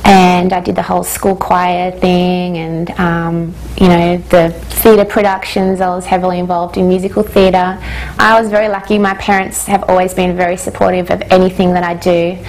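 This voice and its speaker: Soft-spoken woman singer. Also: fairly low pitch, level tone, normal speed, slight background noise, poor audio. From Australia. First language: Hungarian. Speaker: singer-songwriter. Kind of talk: reflective interview monologue.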